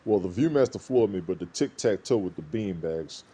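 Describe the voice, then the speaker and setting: Deep, animated man on a noisy call. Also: moderate pace. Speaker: podcast guest. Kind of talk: casual chat. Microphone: computer speaker without headphones, over an online call.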